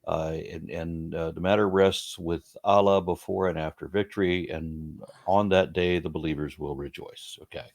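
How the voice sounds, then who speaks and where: A man's voice: low-pitched, fairly even intonation, moderate pace, creaky, hardly any pauses, darkish tone. A man hosting a casual interview, thinking aloud as he talks and often repeating words mid-sentence. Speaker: host.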